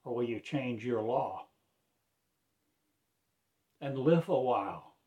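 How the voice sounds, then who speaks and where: A man preaches in a slow, unhurried way, his voice sitting at an ordinary middle pitch. He speaks solemnly and declaims like a prophet, rising suddenly to loud swells and sinking into hushed drops. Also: expressive, muffled recording. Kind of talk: rambling prophetic monologue.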